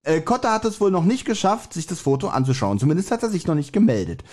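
A man's voice: high-pitched, big pitch swings, fast talker, darkish tone. A man co-hosting a podcast, casually recapping a story and searching for his words as he goes. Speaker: podcast co-host. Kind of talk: casual chat, recapping an audio drama plot.

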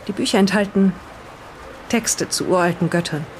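Someone voicing an earnest, defensive island resident in an improvised radio play, speaking deliberately as if reading aloud. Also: mid-range voice, a little hiss or hum, natural voice, rough recording. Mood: solemn reading.

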